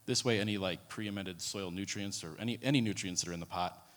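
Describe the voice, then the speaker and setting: A man giving a casual technical talk at a conference, pausing often with ums and restarting his sentences. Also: low-pitched, a bit bright. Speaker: presenter. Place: conference room. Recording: hall reverb.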